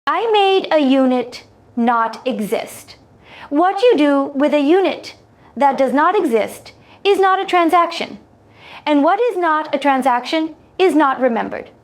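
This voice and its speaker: A woman plays an alien trader from a science-fiction audio story. The voice is high and very unhurried, setting out each formal clause with exact care. Beneath the legalistic precision sits a quiet mercy. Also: lively intonation. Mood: cool, exacting. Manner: formal, clipped legalistic phrasing.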